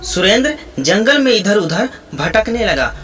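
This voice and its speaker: A man's voice: high voice, big pitch swings, a little slow, sharp tone, a little hiss or hum. A man reading scripted narration for a children's story. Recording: echoey, distant, music behind.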